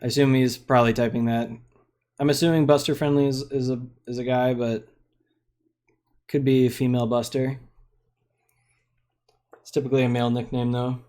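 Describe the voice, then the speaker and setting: A man's voice: medium pitch, level tone, normal speed, long pauses, a little mellow. A young man giving casual, rambling commentary on a card game he is streaming. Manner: laid-back, rambling, lots of fillers.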